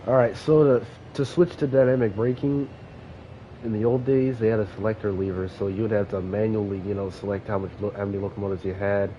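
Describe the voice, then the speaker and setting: Sniffly young man, low voice, lo-fi mic. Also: steady tone, a little fast, dark tone. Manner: rambling, loose, with frequent fillers and self-corrections. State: sniffly from allergies. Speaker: train sim gameplay commentator. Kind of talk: casual gameplay commentary. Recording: dull lo-fi mic with clear background noise.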